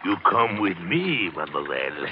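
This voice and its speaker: An actor playing a mad, gleeful old man with a French accent and a raspy, leering, wheedling voice, in old-time radio horror style. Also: deep voice, very animated, slight background noise, exaggerated voice. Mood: menacing, coaxing. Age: older. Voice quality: raspy, croaking. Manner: leering, wheedling, singsong with slow drawn-out phrases. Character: mad, gleeful old Frenchman who carves human bones in sewers. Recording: muffled old radio drama recording.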